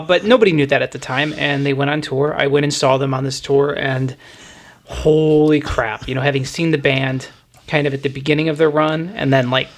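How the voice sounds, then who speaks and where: A man hosting a podcast, talking through music in a casual, explanatory, conversational way. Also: mid-range voice. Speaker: podcast host.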